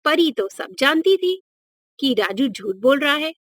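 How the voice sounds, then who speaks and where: A woman's voice: high-pitched, sing-song, a little slow, no room sound, studio quality. A woman narrating a scripted moral story for children as a storyteller.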